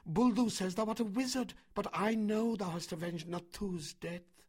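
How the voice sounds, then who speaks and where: A man's voice: high voice, normal speed, slightly rough, voice pushed up. A man voicing a loving, anguished village mother in a story, pleading earnestly. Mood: pleading, anxious.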